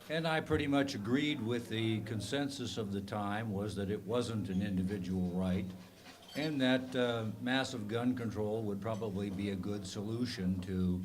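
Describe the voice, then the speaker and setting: A man's voice: lowish voice, a little hiss or hum. Older man lecturing with a cold. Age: 60s. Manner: measured, methodical lecturing with frequent ums and uhs. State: has a bad cold. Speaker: guest speaker, retired professor. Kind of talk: lecture to a club meeting.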